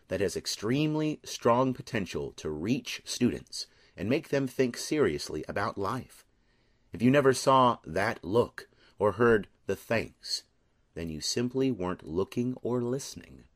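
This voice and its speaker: A man reading a personal letter aloud in an earnest, measured, deliberate way. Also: fairly low pitch, dry recording, studio quality. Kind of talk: scripted reading of a heartfelt letter.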